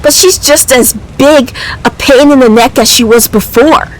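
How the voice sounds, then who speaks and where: A woman's voice: high-pitched, normal speed, natural voice. A woman voicing a brash, grumpy, impatient young man from a video game, talking bluntly and casually. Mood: grudging, eager. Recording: clearly noisy background.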